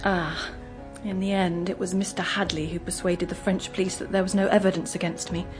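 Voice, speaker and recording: An actress playing a gentle, guarded young English woman in a radio drama, speaking in an earnest, hesitant, confiding way. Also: on the low side, little pitch movement, a little fast, over music, lightly acted. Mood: subdued, confiding.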